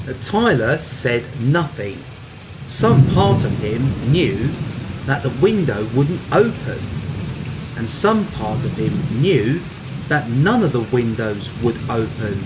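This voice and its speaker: A man's voice: medium pitch, big pitch swings, slow, noisy background. A man narrating a scripted Halloween radio story in a dramatic style.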